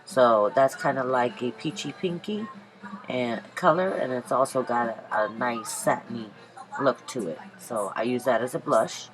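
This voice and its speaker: A woman's voice: a bass voice, moderate pace, crowd noise, rough recording. A woman with a stuffy head cold talking through a casual makeup tutorial, chatty and rambling with many ums and self-corrections. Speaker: beauty vlogger.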